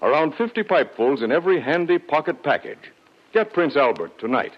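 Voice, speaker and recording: Folksy American radio pitchman, slightly husky. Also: very animated, brisk. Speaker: commercial pitchman. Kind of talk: folksy 1940s radio advertisement. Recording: muffled vintage radio.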